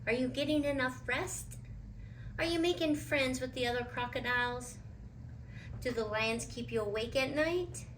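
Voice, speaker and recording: A woman's voice: high voice, normal speed, fairly bright tone, recorded from a distance, slight background noise, voice pushed up, low-quality recording. A woman voicing an anxious, motherly storybook woman who fusses with tender, worried questions. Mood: tender worry. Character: anxious, motherly woman fussing over a friend. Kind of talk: storybook character voice.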